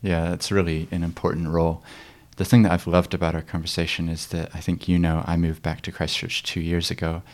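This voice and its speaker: A man hosting a podcast interview, asking questions in a relaxed way and often murmuring agreement. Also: low voice, fairly quick, few pauses. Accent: mixed, not typical New Zealand. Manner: affirming, frequent murmured agreement. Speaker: podcast host. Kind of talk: casual interview conversation.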